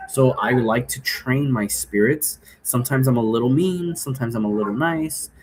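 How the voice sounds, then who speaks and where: A man's voice: mid-range voice, normal speed, slight background noise. A man giving a casual, rambling explanation in the manner of a vlogger, often checking in with a questioning tag. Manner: often tags sentences with a questioning "right?". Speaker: vlogger explaining role play rules.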